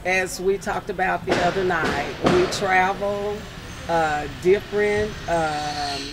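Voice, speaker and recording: A woman's voice: lowish voice, slow, very noisy. A woman speaking casually and agreeably as an interview guest in a large indoor show hall. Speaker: interview guest, satellite TV dealer. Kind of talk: casual unscripted chat. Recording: busy exhibition hall, crowd behind.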